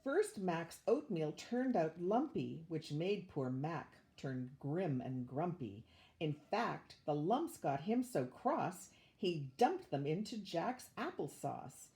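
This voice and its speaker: An older woman reading a rhyming children's story aloud in an expressive, measured way. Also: low-pitched. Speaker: storybook reader, retired teacher.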